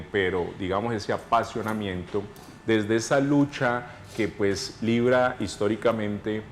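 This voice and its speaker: A man's voice: on the low side, a little hiss or hum. A man interviewing a guest, asking long questions and giving short, respectful affirmations.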